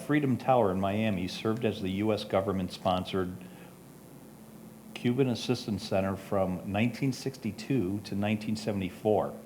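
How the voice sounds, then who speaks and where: A man's voice: fairly low pitch, darkish tone. A man reading prepared photo comments aloud at a photography club presentation. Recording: faint background noise.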